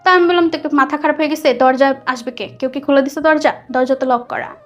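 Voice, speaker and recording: A woman with a Bangladeshi accent telling a personal story conversationally, animated and dropping in English words. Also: high-pitched, quick pace, muffled recording. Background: soft background music.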